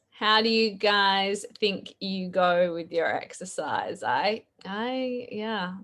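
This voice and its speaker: A woman with an Australian accent hosting a live podcast chat over a video call, her voice a bit croaky, chatty and quick to laugh. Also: medium pitch, fairly bright tone. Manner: chatty, laughs often, fillers. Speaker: podcast host. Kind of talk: casual live-stream chat.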